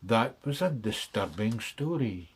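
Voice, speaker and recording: A man's voice: fairly low pitch, lively intonation, measured pace, slight background noise. A man reading a children's bedtime story in rhythmic verse with dramatic delivery.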